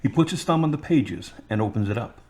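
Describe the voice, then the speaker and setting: A man's voice: mid-range voice, animated, brisk, warm tone. A man reading scripted narration for an audio drama.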